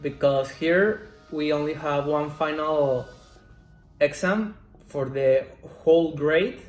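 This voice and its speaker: A man in his twenties, a Spanish speaker, answering interview questions in slow, careful English. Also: on the high side. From Mexico. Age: 20s. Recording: roomy echo, faint music.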